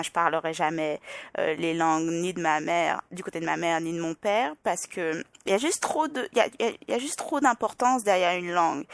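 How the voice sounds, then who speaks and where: Parisian woman, kinda low and gravelly, talks it through slowly w lots of restarts, dry close sound. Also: no echo. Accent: Parisian French. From Île-de-France, France. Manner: thoughtful, with frequent restarts and hesitations. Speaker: podcast guest. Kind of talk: reflective personal interview.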